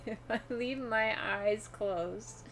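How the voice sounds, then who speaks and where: A woman's voice: on the high side, slow pace, fairly bright tone, slight background noise. A woman streaming a video game, chatting casually and reading on-screen text aloud as she plays.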